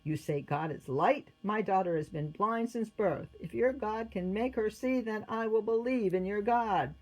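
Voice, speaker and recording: Storybook chief jailer, male, outspoken and excitable, acted by a woman reader; emphatic delivery, husky tone. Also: medium pitch, a little slow, voice pushed up, natural voice, poor audio. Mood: doubtful, challenging. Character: gruff Roman chief prison guard, a father, outspoken.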